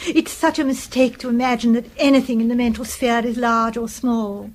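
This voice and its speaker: An actress playing an earnest, gullible British spinster nurse-companion in a radio drama, pious and gushing in manner. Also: female voice, on the high side, fairly even intonation, normal speed, close to an everyday voice. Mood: earnest, devout.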